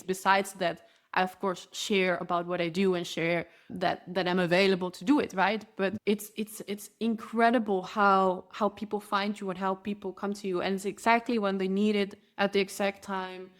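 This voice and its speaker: A woman whose first language is Spanish, a spiritual guide as a podcast guest, explaining her practice earnestly and flowingly, often restarting her phrases. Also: medium pitch. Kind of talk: podcast interview.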